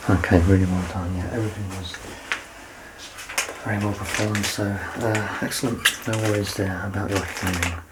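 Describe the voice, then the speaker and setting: A man in his thirties doing a soft-spoken ASMR roleplay as a doctor, gentle and reassuring, often close to a whisper. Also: low voice, steady tone, moderate pace. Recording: clear background noise and ambience.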